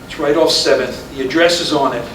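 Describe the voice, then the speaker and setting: Stage drama: regretful husband, fifties, acted by a man, slightly high voice, brooding and halting, roomy echo. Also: close to an everyday voice. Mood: irritated. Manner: halting, repeating words, brooding. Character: weary middle-aged husband, fifty-five, regretful and stubborn. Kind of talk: staged reading of a stage play. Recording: echoey room from far off, noisy.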